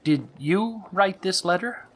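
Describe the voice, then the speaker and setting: A man playing a polite, nervous gentleman doctor in an audio drama, hesitant and prone to stammering as he investigates. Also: high voice, lively intonation, takes it slowly, full character voice. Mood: polite, probing.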